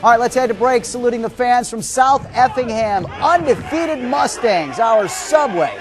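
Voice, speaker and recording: An American man anchoring high school football highlights in an excited, punchy broadcast delivery. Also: normal speed. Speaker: sports anchor. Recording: TV sports broadcast with music behind. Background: music bed.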